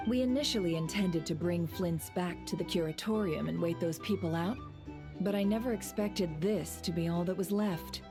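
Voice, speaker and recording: A woman playing a composed, shrewd female schemer in an anime game, speaking in a measured, formal, knowing way. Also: lowish voice, natural voice. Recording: music playing behind the voice.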